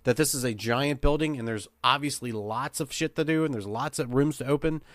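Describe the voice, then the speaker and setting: A man's voice: mid-range voice, a little fast, no echo. A man telling casual game master anecdotes, swearing and acting out the dialogue as he goes. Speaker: podcast panelist and game master.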